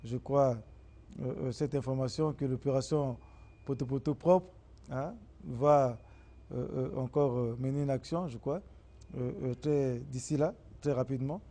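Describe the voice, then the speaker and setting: A man with a Congolese accent hosting a talk show and wrapping up for viewers in a halting, hesitant way, often repeating words. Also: mid-range voice, dark tone. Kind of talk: spoken show wrap-up to viewers.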